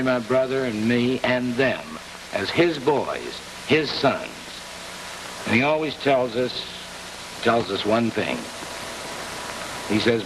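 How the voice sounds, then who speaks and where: An American man answering as an executive guest in a 1950s television interview, in earnest, measured short phrases. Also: mid-range voice. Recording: distant, noisy 1950s television broadcast.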